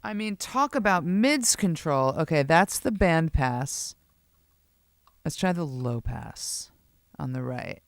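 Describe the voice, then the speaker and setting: A woman with a low voice chatting enthusiastically with viewers while testing bass gear, often breaking off mid-thought. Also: expressive, slow, creaky, no echo, studio quality. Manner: chatty, enthusiastic, self-interrupting. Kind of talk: casual chat with live viewers. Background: loud bass guitar playing.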